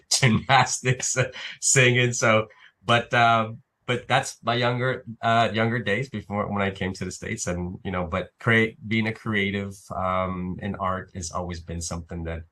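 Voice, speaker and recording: A man's voice: on the low side, fairly even intonation, unhurried, sharp tone. A man raised in Italy, guest on a talk show, talking hesitantly with many fillers. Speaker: actor and filmmaker guest. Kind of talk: interview.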